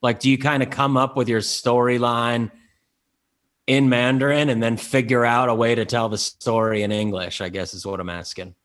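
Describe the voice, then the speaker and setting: Man, medium pitch, casual co-host, curious questioner. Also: level tone, normal speed, a bit bright. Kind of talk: casual conversation.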